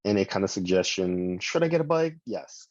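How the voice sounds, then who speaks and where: A middle-aged man with a low, mellow voice talks in a relaxed, rambling way. He sounds dull and lo-fi, as if coming in over a remote line, and dry. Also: moderate pace, no room sound. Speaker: podcast guest. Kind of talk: casual storytelling.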